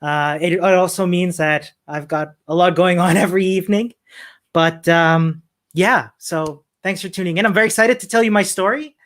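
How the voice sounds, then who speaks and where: A man's voice: sits high, steady tone, normal speed, a bit bright. A man from Chile speaks at an online meetup over a video call. He has a friendly, upbeat manner, with fillers and easy laughs as he talks through his work. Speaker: conference speaker. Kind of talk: tech talk presentation.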